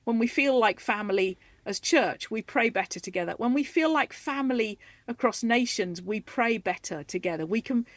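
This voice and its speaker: A woman in her forties talking as a guest on a radio interview, eager and animated, and quick to laugh. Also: a little high, no echo. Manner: animated, enthusiastic, laughs easily. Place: radio studio.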